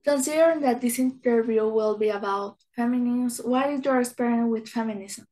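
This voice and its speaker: A woman's voice: fairly high pitch, normal speed, mellow, low-quality recording. A woman interviewer reading out prepared questions and introductions to a guest.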